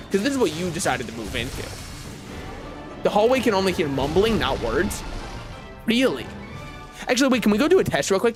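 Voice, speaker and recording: Lively rambling young male streamer. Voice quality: booming voice. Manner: rambling, self-mocking, reading game menus aloud. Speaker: vtuber streamer. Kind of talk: casual live-stream chat while gaming. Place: apartment streaming room. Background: faint game music.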